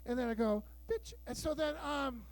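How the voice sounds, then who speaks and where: A man in his fifties with a very high voice works through a crude stand-up set. He tells his stories loudly and acts out every exchange himself, salty language and all. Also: normal speed, low-quality recording. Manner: loud, bawdy storytelling with acted-out dialogue. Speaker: stand-up comedian. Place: live show room with audience.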